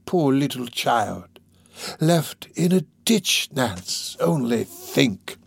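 A man voicing a crafty old criminal in an audiobook, with a croaking, drawn-out, oily delivery. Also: medium pitch, very animated, dry recording, full character voice. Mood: wheedling. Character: crafty old male criminal, wheedling, then furious.

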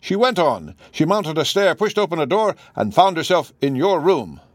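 A man's voice: a little high, sing-song, normal speed, no room sound, studio quality, voice pushed up. A man reading aloud, voicing a male detective who lays out his reasoning in a precise, assured way. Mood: explaining. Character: keen male detective, calm and commanding.

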